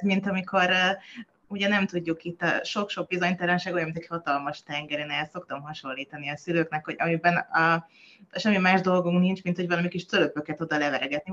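A woman's voice: lowish voice, a bit bright, highs cut off. A woman child psychologist, a podcast guest, explaining things in a hesitant way with frequent filler sounds. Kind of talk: conversational interview.

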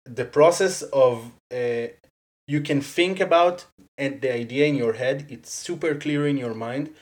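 A guest speaking English with an Israeli accent as a second language after Hebrew, chatting casually in an interview, animated and quick to laugh, with lots of "like" and restarted sentences. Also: medium pitch.

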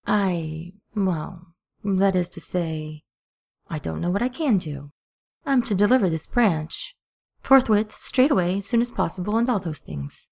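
A woman's voice: on the low side, a little slow, gravelly, a little mellow. A woman voicing a fussy male angel in dove form, prim and stammering. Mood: flustered.

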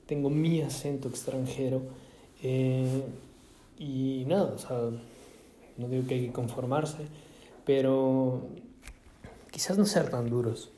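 A man from Ecuador musing aloud in a casual monologue, halting often with fillers and restarts. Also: mid-range voice, fairly even intonation, warm tone, slight background noise. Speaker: vlogger. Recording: echoey room.

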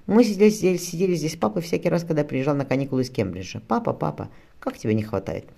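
A man's voice: fairly high pitch, sing-song, racing, gravelly, warm tone, pitched above the performer's own voice, full character voice. A man voicing a lonely, wistful young nobleman in a historical novel, speaking in a rueful, earnest way. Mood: grieving, rueful.

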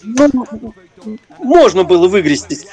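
An older man reminiscing in an interview, speaking haltingly and searching for his words. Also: high-pitched, very animated, a little mellow. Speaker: interview guest, retired prison-service officer and former stage performer.

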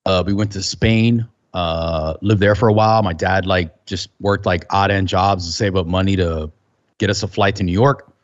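A man's voice: sits low, fairly even intonation, moderate pace, lo-fi sound. A Cuban-born man raised in the United States, chatting informally and profanely as a podcast co-host. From Tampa, United States, born in Cuba. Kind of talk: casual chat.

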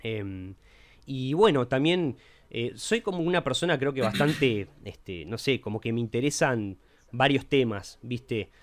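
A young man with a Uruguayan accent, co-hosting a casual podcast chat in a relaxed, rambling way with frequent fillers. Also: mid-range voice, measured pace. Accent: Uruguayan Spanish. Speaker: podcast co-host.

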